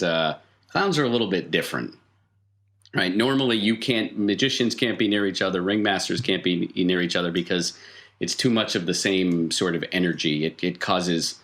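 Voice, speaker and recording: A man voices a circus clown in a tabletop game, low-pitched and thoroughly matter-of-fact. The clown explains strange business casually, like shop talk. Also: a bit bright.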